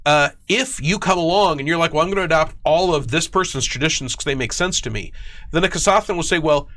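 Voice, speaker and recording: Bright-voiced man explaining game lore. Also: a little high. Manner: animated explainer, acts out imagined quotes. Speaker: game design lead as guest. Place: studio.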